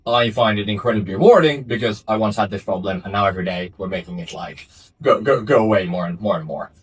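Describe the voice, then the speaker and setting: A young man from Finland speaks in a low, husky voice, giving interview answers about his startup. He talks thoughtfully, pausing with fillers and restarting his sentences. The sound is dry and close, with little room echo. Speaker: startup founder. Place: office in Finland.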